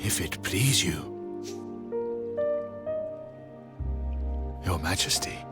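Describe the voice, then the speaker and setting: An actor playing a proud, solemn mouse king in a fantasy game, speaking formally and with feeling in a husky, theatrical voice. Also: sits low, animated, moderate pace, over loud music. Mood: humble, moved. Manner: formal, archaic, emotional delivery. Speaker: game character.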